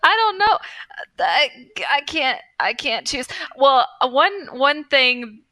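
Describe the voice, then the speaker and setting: A woman's voice: high voice, big pitch swings, takes it slowly, sharp tone, no echo. A woman co-hosting a podcast in a casual, chatty style. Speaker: podcast co-host.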